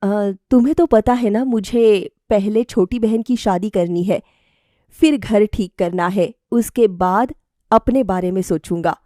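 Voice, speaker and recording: A mild, dutiful young man from a cartoon moral story, read by a woman. The delivery is sincere and measured, a little hesitant, in a dry close recording. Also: mid-range voice, warm tone, no room sound, natural voice. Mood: earnest.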